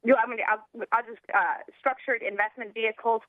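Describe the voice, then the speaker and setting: A young American woman giving earnest political talk as an activist guest, halting and restarting as she goes. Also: on the high side, steady tone, no echo. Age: young adult. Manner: rambling, halting with false starts. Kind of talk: earnest political talk, partly reading out a platform. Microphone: telephone. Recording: narrow tinny phone line.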